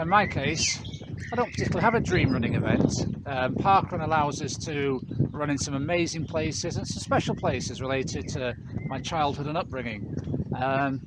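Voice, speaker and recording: A middle-aged man co-hosting a running channel, chatting to camera in a relaxed, reflective way with dry humour. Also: a little high, very noisy. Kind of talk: casual chat to camera.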